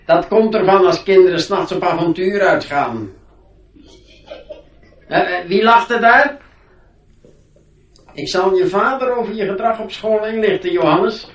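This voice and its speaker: Strict radio-play schoolmaster, pompous and sarcastic, high voice, slow delivery, echoing room. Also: male voice, a bit bright, distant mic. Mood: irritated, strict. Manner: pompous, scolding, deliberate. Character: stern, pompous male schoolmaster, scolding and sarcastic. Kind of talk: radio drama acting. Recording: roomy, set back from mic.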